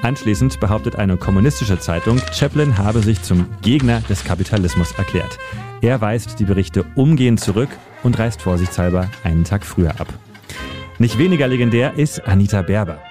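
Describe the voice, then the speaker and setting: A man narrating a scripted history feature for radio in a measured storytelling manner. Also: lowish voice, expressive. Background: instrumental music bed.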